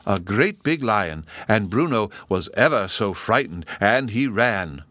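A man voicing a young girl who tells a storybook tale in a patient, gentle, slightly sly manner. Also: lowish voice, expressive, measured pace, no echo, clean studio sound. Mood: storytelling. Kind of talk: storybook character voice in audiobook reading.

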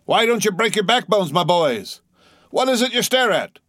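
A man's voice: sits high, very animated, normal speed, a bit bright, dry recording, clean studio sound, voice pushed up, full character voice. A man doing a jovial blustering mate. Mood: rousing, coaxing. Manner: coaxing, jovial, drawling exhortations. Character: blustering cheery mate urging rowers, man.

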